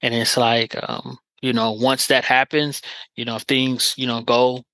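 A man's voice: medium pitch, little pitch movement, a little slow, bright, forceful tone, no room sound, low-quality recording. A man hosting a podcast, talking in an earnest, encouraging way with lots of filler phrases. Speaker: podcast host. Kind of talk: casual interview chat.

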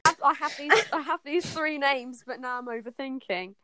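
A woman's voice: high voice, normal speed, pushed and bright, a little hiss or hum. A young woman co-hosting a podcast in a gushing, slangy, casual chat with friends. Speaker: podcast co-host.

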